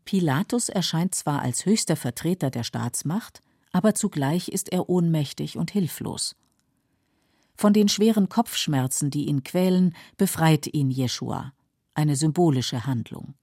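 A woman narrating a scripted literary radio feature in a measured, explanatory manner. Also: low-pitched, expressive, warm tone, slightly rough, dry recording, clean studio sound. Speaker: documentary narrator.

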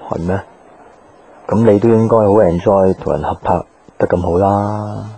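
Radio drama lead: reserved young working man, Hong Kong accent, low soft-toned voice, subdued and quietly hurt. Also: fairly even intonation, measured pace, a little hiss or hum. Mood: restrained, piqued. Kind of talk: Cantonese radio drama acting with inner monologue.

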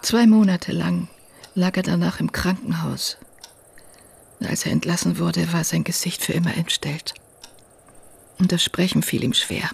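A woman's voice: fairly low pitch, fairly even intonation, measured pace, gravelly, long pauses, slightly rough, heavily acted. An actress playing a sombre, guarded sister recounting her brother's life in a radio drama. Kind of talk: German radio drama acting.

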